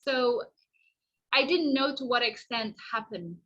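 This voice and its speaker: A woman whose first language is Somali speaks in a bright, breathy, slightly husky voice, earnest and reflective, often restarting her sentences. Her voice sits a little high. The audio is dull and lo-fi, like a home call. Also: lively intonation, measured pace. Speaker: podcast guest. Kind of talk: casual podcast conversation. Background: washing machine noise.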